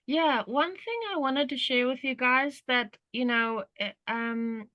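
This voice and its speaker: A woman's voice: high-pitched, slow pace, no room sound, highs cut off. A woman from South Africa with an Australian accent, hosting a group call in casual, rambling talk full of "you know". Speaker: workshop host.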